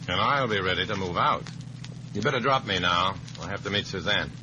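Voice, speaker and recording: An actor plays a wary undercover man with a very deep voice. He talks in clipped, sardonic lines, in the manner of a vintage radio spy thriller. The old recording is clearly noisy. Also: a little fast, highs cut off, natural voice. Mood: resolute. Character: wary, hard-boiled undercover agent posing as a comrade. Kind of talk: old-time radio spy drama acting.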